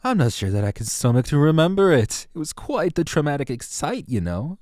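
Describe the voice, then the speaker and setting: A coy, theatrical fairy acted by a man for an audio drama. The voice is dark and soft-edged, recorded close and dry, with arch, genteel phrasing and an air of smug nonchalance. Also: fairly high pitch, sing-song, a little fast, no echo. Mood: arch, mock-delicate. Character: coy, theatrical male fairy murder witness, smugly nonchalant.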